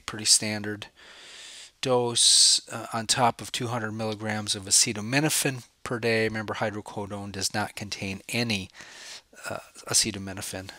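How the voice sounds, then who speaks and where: A man's voice: lowish voice, pushed and bright, no room sound. A man with a cold, sniffling a little, giving a recorded slide lecture as a professor and putting questions to the listener that he then answers himself. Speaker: professor lecturing on spine cases.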